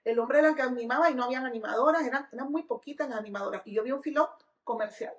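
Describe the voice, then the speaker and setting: A woman from Venezuela, a dance educator and DJ, telling stories in a lively, emphatic podcast chat. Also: high voice, racing, reverberant. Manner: lively, emphatic storytelling with sung snippets. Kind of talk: casual podcast conversation.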